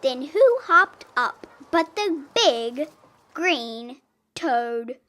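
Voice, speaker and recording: Young storybook narrator, very slow and deliberate, high voice, expressive read-aloud style. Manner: expressive, slow, deliberate, acts out animal sounds. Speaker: storybook reader. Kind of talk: animated picture book read-aloud.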